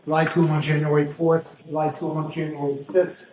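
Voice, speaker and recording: A male lawyer makes a deliberate, repetitive address to a jury. His slightly high voice comes through with strong room echo and faint background noise. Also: level tone, a little mellow. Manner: deliberate, repetitive rhetorical delivery. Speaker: defense attorney addressing a jury. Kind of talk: courtroom opening statement. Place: indoor courtroom. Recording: echoey courtroom with faint noise.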